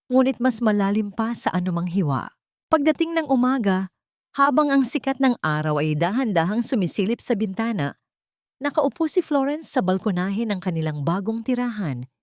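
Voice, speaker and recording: A woman reading a scripted dramatic story in a measured, solemn way. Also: on the low side, a little mellow, dry recording, studio quality. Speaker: story narrator. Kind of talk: scripted dramatic audiobook-style narration.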